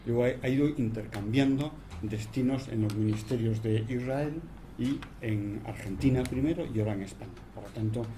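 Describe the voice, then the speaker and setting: A man formally introduces a guest speaker at an event, speaking in a measured, slightly hesitant way. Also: mid-range voice. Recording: noisy room recording.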